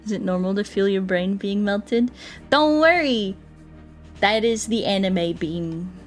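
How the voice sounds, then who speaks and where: A woman's voice: mid-range voice, animated, measured pace. Female game streamer, rambling and playful, casual chat delivery over background music. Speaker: game livestreamer. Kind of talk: casual livestream chat while playing.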